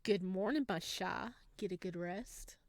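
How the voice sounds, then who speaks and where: Woman voicing a soft-spoken sinister male captor. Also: medium pitch, a little slow, no echo, lightly acted. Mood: creepy, coaxing. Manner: hushed, coaxing menace.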